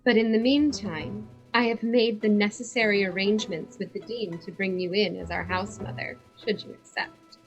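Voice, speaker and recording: A woman's voice: medium pitch, steady tone, normal speed, hardly any pauses, soft background music, natural voice, rough recording. Woman playing a poised, haughty society lady. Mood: composed, formal. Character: haughty fashion-snob woman, former sorority president. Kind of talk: dramatic reading.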